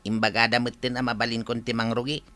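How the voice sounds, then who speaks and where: A man's voice: medium pitch, flat delivery, fast, sharp tone, natural voice. A man playing an easygoing, generous young carpenter, a son-in-law, in a radio comedy drama. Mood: earnest.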